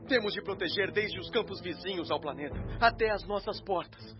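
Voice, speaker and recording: An actor playing an anxious spirit radio announcer, delivering a solemn, impassioned, oratorical appeal for peace in a radio drama. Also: male voice, high voice, a little fast, dull audio, natural voice. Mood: solemn, urgent appeal. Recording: band-limited radio sound under loud music. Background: loud music.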